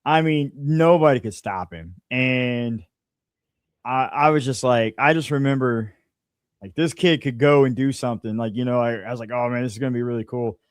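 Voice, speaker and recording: A man's voice: mid-range voice, moderate pace, clear, resonant tone, no room sound, clean studio sound. A man from a small American town hosting a casual sports interview, with folksy and enthusiastic reactions. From small town in the United States. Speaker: interviewer, show host.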